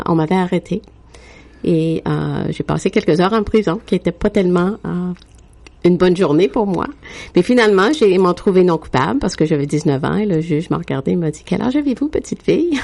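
A woman's voice: fairly low pitch, gravelly, a little mellow. A woman in her sixties from Quebec, telling her life story as an interview guest with many hesitations. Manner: frequent hesitations with "euh", unhurried.

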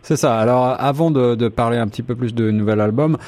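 A man's voice: medium pitch, a little fast, a little mellow. A man hosting a radio show, interviewing a guest with long, winding, conversational questions. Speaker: radio host.

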